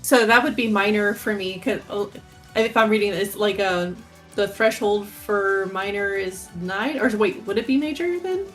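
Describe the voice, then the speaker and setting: A woman's voice: medium pitch, normal speed, a bit bright, faint music behind. A woman playing a tabletop game, talking casually about her moves and the rules.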